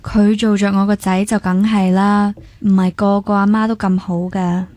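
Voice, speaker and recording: A woman's voice: medium pitch, flat delivery, normal speed, warm tone, dry recording, natural voice. A woman with a Hong Kong Cantonese accent acting a determined, thrifty young dance teacher in a radio drama, in a plain, casual, matter-of-fact way. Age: young adult.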